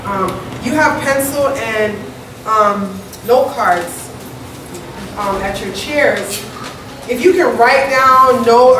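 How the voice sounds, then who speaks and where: A woman moderating a community panel discussion in front of an audience, talking in a conversational way and often checking in with "right?". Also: on the high side. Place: large echoey event hall with an audience. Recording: echoey hall, distant mic, audible hiss.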